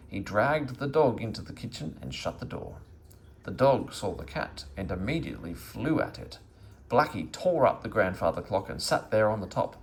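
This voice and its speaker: A man reading a children's story aloud as an expressive narrator. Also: on the low side, gravelly. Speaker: storybook reader.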